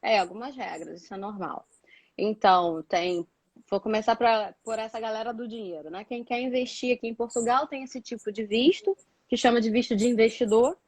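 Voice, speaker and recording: A woman's voice: a little high, moderate pace, dry recording, rough recording. A Brazilian woman chatting casually and explaining things as a guest, repeating words for emphasis. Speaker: guest, doctoral student living in Portugal.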